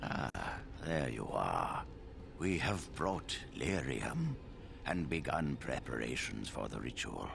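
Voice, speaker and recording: An actor playing a grave male mage in a video game, with a gravelly voice and a measured, solemn manner. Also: extremely low pitch, sing-song, noisy background, full character voice. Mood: grave, businesslike. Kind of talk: video game character dialogue.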